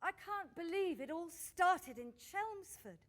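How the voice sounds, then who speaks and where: A woman playing a wistful, admiring friend reminiscing in measured radio drama dialogue. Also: very high-pitched, expressive, a bit bright, full character voice.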